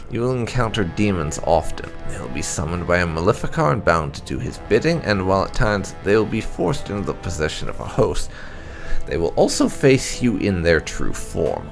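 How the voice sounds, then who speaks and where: A man's voice: low voice, big pitch swings, normal speed, creaky, music in the background, full character voice. A man voicing a stern Templar commander from a fantasy game, giving a grave, cautionary lecture. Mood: grave warning.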